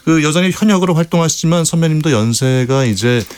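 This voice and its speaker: A man's voice: fairly high pitch, expressive, fast talker, darkish tone, smooth. A man hosting a radio interview, polite and attentive, who keeps up frequent affirming backchannels. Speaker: radio talk show host. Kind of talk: broadcast interview.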